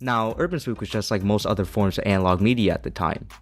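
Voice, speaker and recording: A man's voice: lowish voice, quick pace. A man reading a scripted video essay as its narrator, with an opinionated, critical manner.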